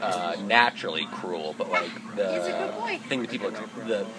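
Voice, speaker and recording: A man talking as a guest in a casual podcast chat, slow and halting as he works out his thoughts. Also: low voice. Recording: loud background noise.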